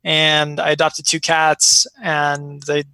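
American guy chatting casually, kinda rambly, sharp bright voice, really dry close sound. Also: a little high, monotone, takes it slowly, dry recording. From born in Iraq, raised in Chicago, United States. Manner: rambling, informal, frequent filler "like". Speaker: interview guest. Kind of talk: casual conversation, personal stories.

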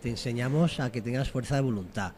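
A man's voice: medium pitch, quick pace, crowd noise. A man giving a casual, hesitant sales talk, often asking "do you understand?". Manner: hesitant restarts, repeats tag questions. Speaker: guest, company representative. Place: recorded in Spain.

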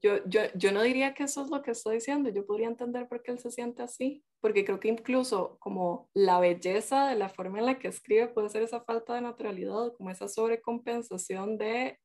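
A woman's voice: fairly high pitch, a little fast, few pauses, darkish tone. A woman in a casual book discussion who thinks aloud and often restarts her words. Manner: thoughtful, hesitant, repeats words.

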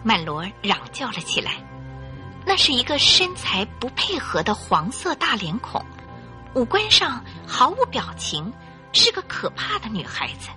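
A woman narrator tells a story in dramatic radio style. Her voice is bright and crisp-edged at a middle pitch, with lively rises and falls over faint music. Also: unhurried.

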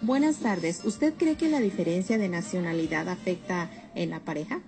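A woman's voice: lowish voice, normal speed, mellow, faint music behind. A woman putting survey questions to someone as an interviewer. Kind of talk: reading survey questions to a passer-by. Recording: noisy on-location interview recording.

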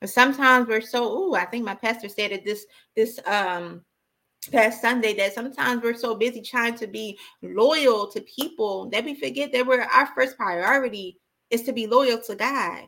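A woman's voice: on the high side, normal speed, pushed and bright. A woman giving an impassioned, informal sermon as a preacher, exhorting emphatically and repeating key phrases.

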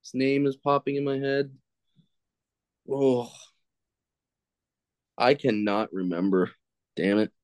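A man's voice: medium pitch, slow pace, pauses often, a little mellow, dry recording. A man guesting on a podcast, chatting casually, searching aloud for answers and cursing at himself when he blanks. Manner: fumbling, self-deprecating, swears when stuck. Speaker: podcast guest, film critic. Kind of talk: casual chat.

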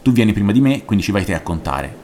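A man's voice: fairly low pitch, very animated, fast talker, mellow, a little hiss or hum. A man reading a story aloud as a smug, pedantic boy with a haughty, mocking manner. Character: smug, pedantic boy narrator correcting a bully. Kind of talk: short story read aloud.

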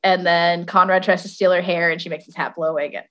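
A woman's voice: fairly low pitch, little pitch movement, fast, a bit bright. A woman hosting a podcast, telling a fairy tale in an informal, wry, giggly way and sometimes reading passages aloud. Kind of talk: casual storytelling with read-aloud passages.

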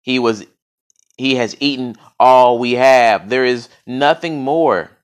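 A man's voice: slow, clean tone, lightly acted. A man reading aloud and voicing a terrified king who pleads in a panic. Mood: panicked. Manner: panicked, high-pitched pleading. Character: frightened old king, quaking and pleading.

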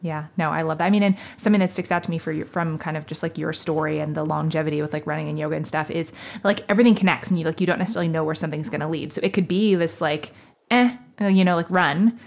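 Low, creaky-voiced woman chatting casually. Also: fast talker. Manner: chatty, affirming, heavy use of "like". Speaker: podcast interviewer. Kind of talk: casual conversational interview.

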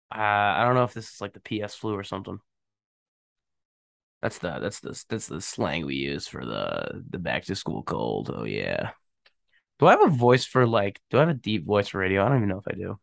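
A man's voice: fairly low pitch, animated, normal speed, gravelly, pauses often, dry recording, clean studio sound. A young man hosting a podcast, talking in a rambling, slangy way and laughing often, with a stuffed-up nose from a cold. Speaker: podcast host. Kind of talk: casual solo chat.

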